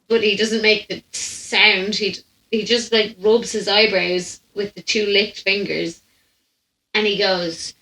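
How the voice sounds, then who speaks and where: Bright-voiced young Irish woman telling stories. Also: mid-range voice. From Ireland. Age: young adult. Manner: animated, chatty, laughs while telling. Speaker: podcast host. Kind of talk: casual comic anecdote storytelling. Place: indoor room at home. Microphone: condenser microphone on stand, close. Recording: roomy echo.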